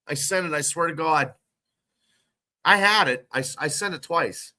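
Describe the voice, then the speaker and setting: A man's voice: on the high side, moderate pace, bright, forceful tone. A congested, under-the-weather man hosting a casual sports podcast, rambling with frequent restarts. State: congested, sick. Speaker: podcast host.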